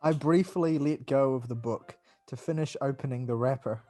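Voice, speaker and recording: A man's voice: medium pitch, moderate pace, soft background music. A man, casually reading game text aloud and joking with friends on a podcast. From New Zealand. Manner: relaxed, joking, laughs between lines. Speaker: let's play co-host reading the game text.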